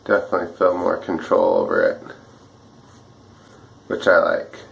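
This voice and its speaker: A man with a slightly rough, dry voice, chatting casually and a bit ramblingly while he shaves at home for his vlog. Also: sits low, level tone, normal speed, low-quality recording. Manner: easygoing, rambling, many fillers and restarts. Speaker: wet shaving vlogger. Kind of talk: casual talk while shaving.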